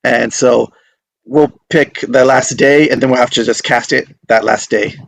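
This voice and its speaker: A man's voice: medium pitch, steady tone, a little slow, vocal fry, a bit bright, no echo. A man hosting an online table read, chatting in an informal, appreciative way. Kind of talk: casual group video-call chat.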